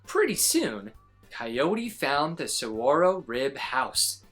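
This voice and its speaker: A woman reading a children's picture book aloud as an expressive storyteller. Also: rumbling low, takes it slowly, faint music behind.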